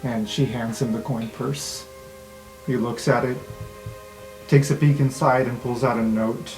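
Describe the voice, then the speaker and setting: A man's voice: mid-range voice, fairly even intonation, unhurried, a little mellow, poor audio. A man runs a tabletop roleplaying game as its game master, improvising the narration as he goes. Faint background music plays under his voice.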